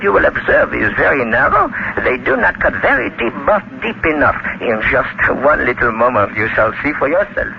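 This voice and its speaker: High, creaky, sinister killer. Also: male voice, background noise, full character voice. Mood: sinister relish. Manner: halting, fussily polite, savoring words. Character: small, menacing French murderer, genteel, sinister, mockingly polite. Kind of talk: 1940s radio horror acting. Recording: dull old radio recording, loud music.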